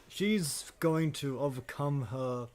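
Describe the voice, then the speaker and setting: A man's voice: medium pitch, slow, a little mellow. A man co-hosting a podcast in casual chat, often hesitating and repeating words as he goes. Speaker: podcast co-host.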